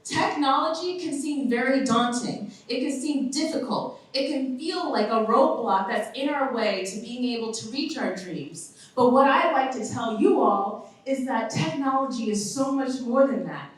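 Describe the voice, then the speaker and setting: High-voiced woman giving an uplifting speech. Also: animated, normal speed, few pauses. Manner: earnest, encouraging, repetitive phrasing. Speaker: summit speaker. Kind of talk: inspirational closing speech. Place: large echoey conference hall. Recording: echoey hall, voice from far off.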